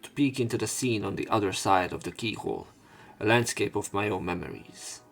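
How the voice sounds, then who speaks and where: A man's voice: on the low side, fairly quick, vocal fry, loud music behind the voice. A man reading visual novel text aloud as dramatic narration for a let's play.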